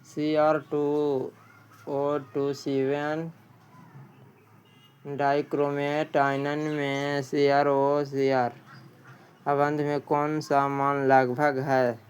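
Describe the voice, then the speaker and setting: A man's voice: a little high, completely flat delivery, drawn-out words, pauses often, smooth. A man teaching an exam practice lesson, reading out questions and answers and often repeating a question before answering.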